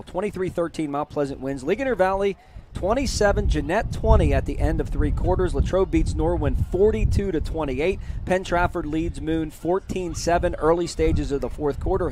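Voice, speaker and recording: A man calling a high school football broadcast from the press box, chatty and energetic, and losing his voice. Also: background noise. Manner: chatty, energetic, reads scores quickly. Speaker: play-by-play broadcaster. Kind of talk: postgame sports commentary.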